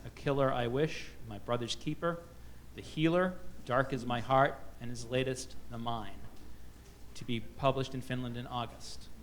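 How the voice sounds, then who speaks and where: A man's voice: mid-range voice, a little hiss or hum. A man moderating a book festival panel, reading out author introductions with frequent fillers and restarts. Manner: halting, many fillers and restarts.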